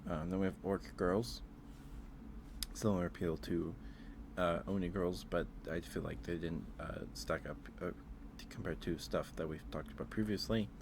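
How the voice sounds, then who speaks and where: A man streaming casual ASMR chat, talking haltingly, often repeating words and trailing off. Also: low-pitched, steady tone, background noise. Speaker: streamer hosting a ranking.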